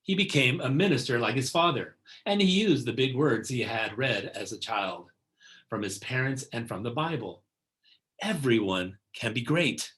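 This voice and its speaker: A man's voice: medium pitch, lively intonation, normal speed, fairly bright tone. Man reading a picture book aloud. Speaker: read-aloud narrator. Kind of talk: scripted picture-book read-aloud for children.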